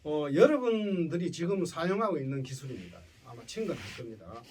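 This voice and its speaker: A man's voice: fairly high pitch, very animated, a little fast, darkish tone, slightly rough, recorded from a distance. A man with a Gyeongsang accent giving a lecture to school students as a professor, often checking in with his listeners. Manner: checks in with listeners using tag questions. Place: indoor lecture before students.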